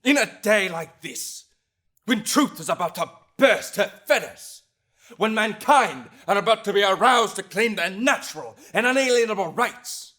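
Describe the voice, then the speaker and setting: An impassioned young colonial lawyer, acted by a man, with a high, bright and forceful voice. He orates theatrically to a courtroom, swinging widely in pitch between restraint and thunder. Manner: theatrical, swinging from hushed to thundering, with long pauses. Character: impassioned young 18th-century lawyer, indignant defender of liberty. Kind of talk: dramatic courtroom oration monologue.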